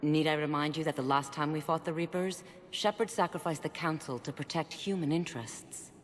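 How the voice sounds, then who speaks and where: A woman's voice: low voice, fairly even intonation, brisk, a little hiss or hum, natural voice. Woman as stern skeptical alien councilor, echoing hall. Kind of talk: dramatic video game acting. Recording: echoing hall, faint background ambience.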